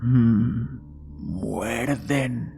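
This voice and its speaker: A man voices a terse, wary old man in a horror tale. He speaks extremely slowly and haltingly, with faint music underneath. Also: mid-range voice, creaky, warm tone. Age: older. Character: wary old man warning of danger in a desert bar.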